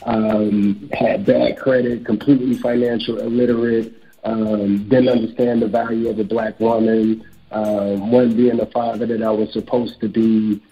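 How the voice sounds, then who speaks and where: A man's voice: lowish voice, level tone, unhurried. A man in his thirties from Chicago, an author and real estate broker, speaking candidly and reflectively in a casual interview. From Chicago, USA. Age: 30s. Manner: candid, reflective, speeds up when quoting himself. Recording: dull lo-fi audio with faint music.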